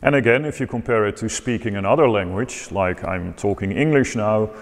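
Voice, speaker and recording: Dutch man teaching in an echoing church. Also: lowish voice. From Netherlands. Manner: measured, thoughtful, gestures with hands while explaining. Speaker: organ teacher. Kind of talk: calm explanatory talk to camera. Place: large church with organ loft, indoors. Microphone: far camera, seated at a table. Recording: long church reverb.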